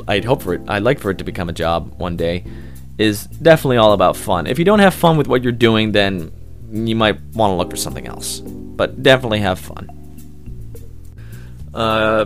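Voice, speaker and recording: Low-voiced man chatting casually over music. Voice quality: loud voice. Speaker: amateur voice actor being interviewed. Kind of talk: casual unscripted interview answers. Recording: music playing behind the voice.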